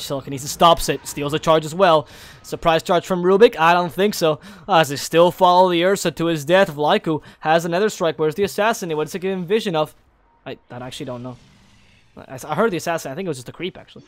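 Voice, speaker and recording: A man calls an esports match play by play in a fairly high register. His voice is naturally low, but he keeps it lifted for the cast. He gets excitable and breaks into high shouts when the big plays land. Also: brisk. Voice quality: naturally low voice kept higher for casting. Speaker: esports caster.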